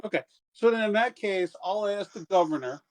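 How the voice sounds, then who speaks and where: A male player in a tabletop game, speaking with hesitation and backtracking as he works out what he wants. His voice is a little higher than most men's. The delivery is casual and conversational. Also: lively intonation, normal speed, poor audio. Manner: hesitant, restating his point.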